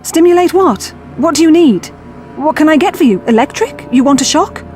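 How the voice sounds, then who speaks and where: An anxious, determined young woman in an audio drama. Her voice is fairly high, her manner urgent, blunt and wry, with faint music behind. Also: expressive, moderate pace, mellow.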